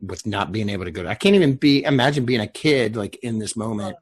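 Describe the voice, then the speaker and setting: A man with a very lively, varied pitch leads a casual conversation. He acts as host on a remote call, checking in with the group in an informal way. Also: fast talker, husky. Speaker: host and writer leading the chat. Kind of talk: casual chat.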